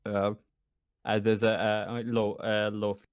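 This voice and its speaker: A man running a tabletop roleplaying game as game master, talking casually and often halting as he searches for his words. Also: lowish voice, monotone, smooth, no room sound, muffled recording.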